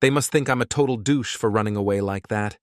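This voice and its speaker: A man's voice: fairly low pitch, fast talker, dry recording, clean studio sound, lightly acted. A man playing an anxious, weary survivor in an audio comic, sounding uneasy and subdued. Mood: weary unease. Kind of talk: audio comic dramatic acting.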